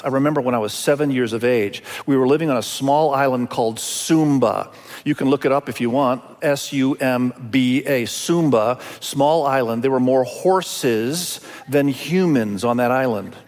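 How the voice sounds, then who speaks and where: A man from Indonesia, a native Indonesian speaker, preaching a sermon as a pastor in an emphatic way with deliberate pauses and repetition. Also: mid-range voice, darkish tone. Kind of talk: expository sermon. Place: large hall, speaking to a congregation. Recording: reverberant large hall sound.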